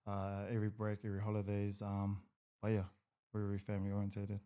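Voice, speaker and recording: A man's voice: fairly low pitch, monotone, fast, warm tone. Easygoing young New Zealand man. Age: 20s. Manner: easygoing, hesitant with many ums, laughs a lot. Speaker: guest, rugby league player. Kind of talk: casual podcast interview.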